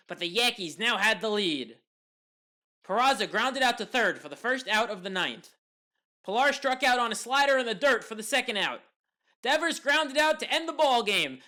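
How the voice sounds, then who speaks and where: A woman delivering scripted play-by-play commentary over sports highlights. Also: fairly bright tone.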